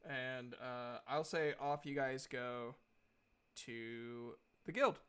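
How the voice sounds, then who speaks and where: A man runs a tabletop game as its narrator. His voice is bright and forceful, and he delivers descriptions slowly, in halting pieces as he composes them. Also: medium pitch, clean tone. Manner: halting, pausing mid-sentence to compose descriptions. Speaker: game master.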